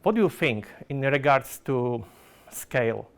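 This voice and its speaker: A man's voice: mid-range voice, animated, a little slow. A Slovak man, a product manager, giving a conference presentation in careful non-native phrasing full of fillers and restarts.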